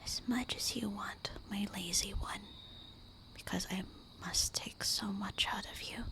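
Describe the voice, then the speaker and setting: A woman voicing a tender, melancholy immortal vampire wife in a breathy, intimate whispered ASMR roleplay. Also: medium pitch, fairly even intonation, bright, forceful tone. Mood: doting. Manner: slow, intimate, wistful murmuring.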